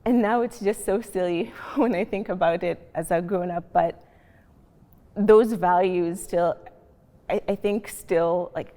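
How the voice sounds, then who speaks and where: A woman's voice: on the low side, unhurried, dark tone. A woman from Kenya with a Kenyan accent, thoughtfully sharing her experiences as a panelist in a discussion. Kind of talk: reflective discussion. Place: large library reading room. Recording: long reverb of a large hall.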